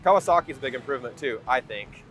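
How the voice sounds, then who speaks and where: A man's voice: medium pitch, expressive, fast, a bit bright. A man giving a casual testimonial in an outdoor interview. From Nebraska, United States. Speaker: lawn care business attendee. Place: outdoors at a trade expo. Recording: outdoor interview with faint music.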